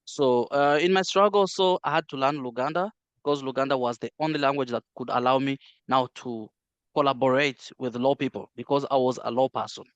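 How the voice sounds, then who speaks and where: A middle-aged man from the Congo, Swahili his first language, talks through an interview in a measured way with lots of "uh" and restarts. His pitch sits in the middle of a man's range. The sound is dry and close, with no room echo. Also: fairly even intonation, smooth, lo-fi sound. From Democratic Republic of the Congo. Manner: measured, many "uh" fillers and restarts. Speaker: interview guest, healthcare interpreter. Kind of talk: casual interview, recounting his life.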